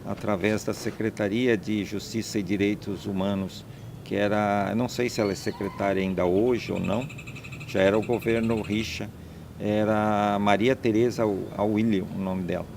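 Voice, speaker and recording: Gravelly man, emphatic interview answers. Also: fairly low pitch, fairly even intonation, moderate pace, background noise. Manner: emphatic, listing and repeating points. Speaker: interviewee, landowner. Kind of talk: conversational interview.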